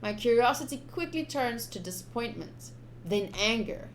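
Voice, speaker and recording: A woman's voice: fairly high pitch, lively intonation, moderate pace, slight background noise, rough recording. A young woman streaming a game, informal and chatty, switching between casual commentary and reading aloud.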